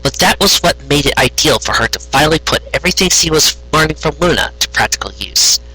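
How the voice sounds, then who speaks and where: A man narrating a scripted story aloud in a rough, husky voice. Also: a little high, animated, brisk, bright, forceful tone, faint music behind, low-quality recording. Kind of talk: scripted fan fiction narration.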